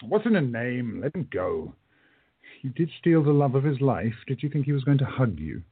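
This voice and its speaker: A man acting an older time traveler in a radio play, stern and calm with quiet menace. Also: medium pitch, husky. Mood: wry, commanding. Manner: measured, stern, drawn-out admonishing. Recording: muffled lo-fi phone-like line.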